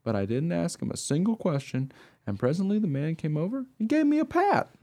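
Radio play dog narrator, male actor: earnest, naive, eager, swooping intonation, soft-toned voice. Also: a little high, sing-song, fairly quick. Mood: earnest, guileless. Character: eager, naive, trusting young dog telling his story.

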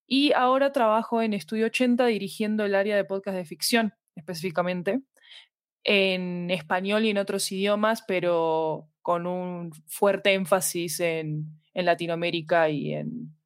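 A woman's voice: mid-range voice, fairly even intonation, a little slow, dry recording. A woman with a mid-range voice speaks as an interview guest about her work. She explains in a conversational way and adds small tag questions to check she is followed. The recording is dry, with very little room sound. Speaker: podcast fiction director being interviewed.

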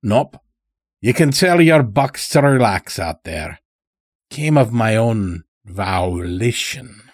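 A man voicing a stout, sly old farmer in an audiobook story, with a folksy drawl and unhurried, dragged-out words. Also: lowish voice, animated, no echo, clean studio sound, full character voice. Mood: amused, sly.

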